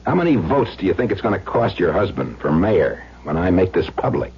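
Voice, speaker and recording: A man's voice: low voice, big pitch swings, a little fast, vocal fry, full character voice. An actor playing a crude, taunting blackmailer husband with slangy tough-guy talk, in old-time radio drama style. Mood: taunting, threatening. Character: crude blackmailing husband back from presumed death, slangy and menacing. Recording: dull lo-fi radio recording, faint hum.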